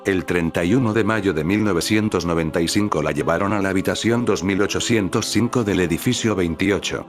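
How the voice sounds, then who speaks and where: Deep-voiced man narrating over faint music. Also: fairly quick. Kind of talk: scripted narration.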